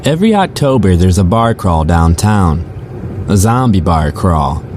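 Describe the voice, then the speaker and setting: A man's voice: low voice, very animated, a little slow, a little mellow, a little hiss or hum. A man playing a chatty, sardonic rideshare driver, telling his story in the first person for an audio drama. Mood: wry, griping. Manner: casual, sardonic, conversational. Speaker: narrator and lead character.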